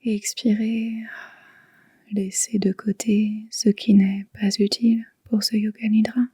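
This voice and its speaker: A woman guiding a yoga nidra relaxation in a soft, whispery, breathy voice, soothing and unhurried. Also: mid-range voice, level tone, no echo. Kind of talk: slow guided relaxation meditation.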